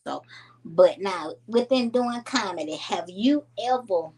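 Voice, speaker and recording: Lively husky-voiced woman podcast host. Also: medium pitch, unhurried. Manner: animated, affirming with frequent "right". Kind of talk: casual podcast chat.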